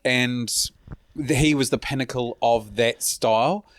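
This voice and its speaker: A man's voice: medium pitch, unhurried. A man who is a stand-up comedian, a guest in casual chat, making dry quips and swearing casually.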